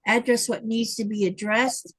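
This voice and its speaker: A woman's voice: fairly high pitch, steady tone, moderate pace, fairly bright tone. A woman hosting a craft livestream, reading viewer comments aloud in a chatty way with fillers. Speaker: livestream host reading chat. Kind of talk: casual chat. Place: home livestream. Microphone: livestream mic.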